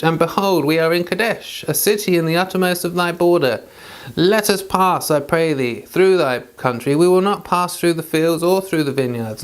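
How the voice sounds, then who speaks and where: Clear, higher-voiced man reading aloud. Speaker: Bible reader and commentator. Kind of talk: scripture read aloud, with informal asides.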